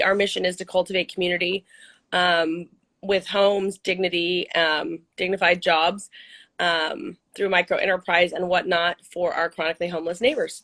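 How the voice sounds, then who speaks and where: A middle-aged woman from Central California, a little hoarse, talking casually and upbeat with lots of ums and restarts in an interview. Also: lowish voice, a bit bright. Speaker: interview guest, nonprofit CEO. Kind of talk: casual video-call interview.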